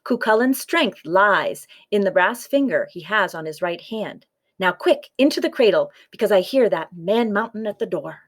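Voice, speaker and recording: A woman's voice: mid-range voice, animated, normal speed, natural voice. A woman voicing a sweet, sly, coaxing giant's wife in a storybook tale. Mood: bossy, urgent. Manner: sugary, coaxing.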